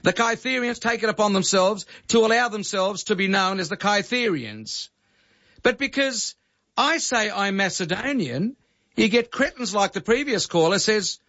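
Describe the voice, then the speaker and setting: Indignant high-voiced man, ranting. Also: a bit bright. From Greece. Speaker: radio host. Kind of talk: heated talkback radio. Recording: dull lo-fi archival radio recording.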